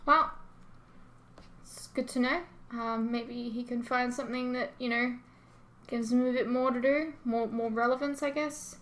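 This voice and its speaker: A woman's voice: on the high side, steady tone, measured pace, a bit bright. An Australian woman chatting casually to her viewers as a reaction host, informal, rambling and self-deprecating as she reads and answers comments.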